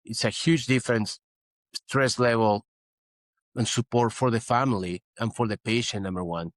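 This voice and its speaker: A man's voice: medium pitch, steady tone, normal speed, no echo. A middle-aged man from Peru, a guest physician in an interview, explaining earnestly and often saying "you know". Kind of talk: interview conversation.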